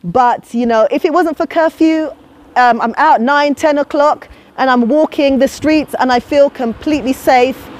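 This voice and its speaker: A woman's voice: sits high, moderate pace. Chatty woman vlogging on a walk. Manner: chatty, persuasive, addresses viewers as guys. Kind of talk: casual walking vlog. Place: outdoors on a quiet suburban street. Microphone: clip-on lapel mic.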